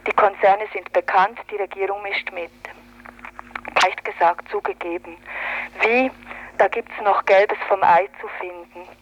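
A woman's voice: medium pitch, steady tone, unhurried, dull audio, a little hiss or hum. A woman reading a scripted political commentary on a 1980s radio recording.

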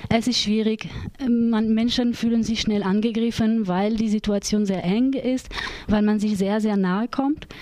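A woman's voice: on the high side, steady tone, measured pace, mellow, no echo. Woman with a light French accent. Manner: hesitant, many fillers. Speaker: project leader. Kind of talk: radio interview.

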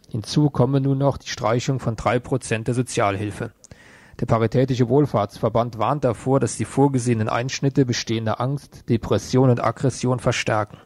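A man's voice: mid-range voice, a little mellow, no room sound. A man presenting radio news, reading a script in a sober, matter-of-fact manner.

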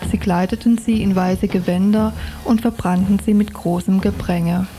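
Mellow woman reading literary prose. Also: mid-range voice, steady tone, normal speed, soft background music. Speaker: reader of a novel excerpt. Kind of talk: scripted literary reading, storytelling narration.

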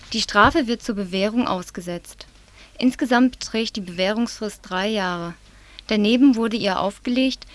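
A woman from southwest Germany presenting a local news report, reading in a measured, serious way. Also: medium pitch.

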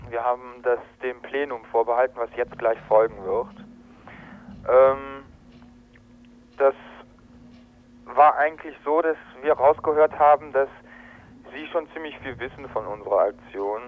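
Male phone-in reporter, hesitant unscripted delivery, narrow tinny telephone sound. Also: medium pitch. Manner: hesitant, halting. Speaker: activist giving a phone report. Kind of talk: spontaneous eyewitness report. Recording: tinny narrow-band phone line on radio.

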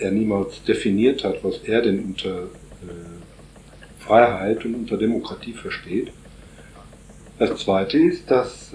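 Deep-voiced man explaining, halting with fillers. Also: a little mellow, echoey room, a little hiss or hum, poor audio. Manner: hesitant, many "äh" fillers, building long explanatory sentences. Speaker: interviewee on Paraguay politics. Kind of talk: radio interview answers.

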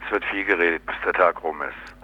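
A man's voice: low-pitched, a little fast, dull audio. A man explaining his views casually in an interview, sardonic and colloquial, with many little tag questions.

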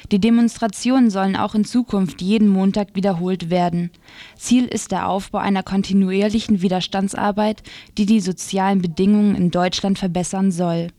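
Mellow-voiced woman reading radio news. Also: medium pitch, no echo. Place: dry radio studio.

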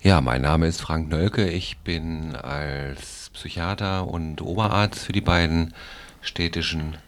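A man's voice: rumbling low, slow pace. A man introducing himself formally as a guest in a radio studio.

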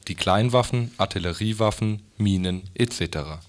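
A man with a fairly low voice reads written passages aloud for a radio report. His delivery is formal and scripted, with pronunciation. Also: moderate pace. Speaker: reader of quotations in a radio report. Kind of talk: formal reading of written excerpts.

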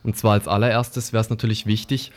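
Guy on local radio, lowish voice, chatting thru the news kinda hesitant and unscripted. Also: flat delivery, brisk. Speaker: radio presenter.

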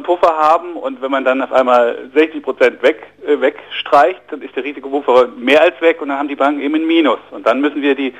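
A man's voice: mid-range voice, dull audio. A man with a German accent, a financial journalist giving a radio interview, explaining economics fluently but with many fillers and repeated words. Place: radio studio.

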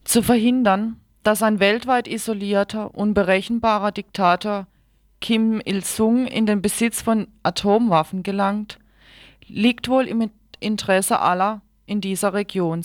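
A woman's voice: mid-range voice, no echo, clean studio sound. A woman presenting radio news from a script.

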